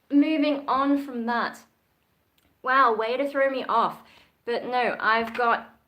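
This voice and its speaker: A woman's voice: on the high side, a bit bright. A young Australian woman chatting casually on a livestream, reading out and answering viewer comments. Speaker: YouTuber.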